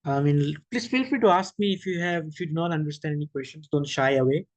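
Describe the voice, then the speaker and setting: A man giving an online machine learning workshop, explaining slowly with frequent okays, restarts and questions to the audience. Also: a little high, no room sound. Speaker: workshop presenter. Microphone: video call.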